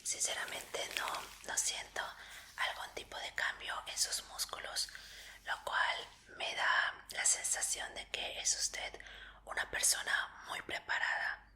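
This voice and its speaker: A woman doing a whispered ASMR medical roleplay in a breathy voice, speaking politely and reassuringly as an examiner. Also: slow pace, sharp tone.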